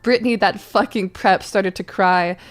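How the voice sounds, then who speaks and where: A woman's voice: mid-range voice, moderate pace. A woman narrating a comic dramatic reading of fanfiction for a livestream in a giggly, theatrical manner.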